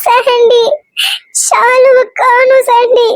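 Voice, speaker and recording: A woman's voice: extremely high pitch, flat delivery, slow pace, slight background noise, voice pushed up. A woman voicing a frightened young woman who is crying and pleading on a phone call. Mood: tearful panic. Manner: tearful, pleading.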